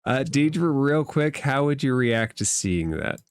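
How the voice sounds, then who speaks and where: A man narrating a tabletop roleplaying game as game master, explaining rules and addressing the players in a measured way. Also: mid-range voice, animated, clean tone, no echo, studio quality.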